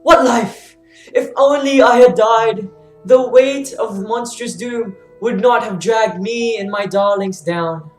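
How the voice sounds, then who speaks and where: A woman's voice: a little high, slow, reverberant, faint music behind. A woman playing an anguished, self-cursing blinded king in a dramatic reading of Greek tragedy. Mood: bitter.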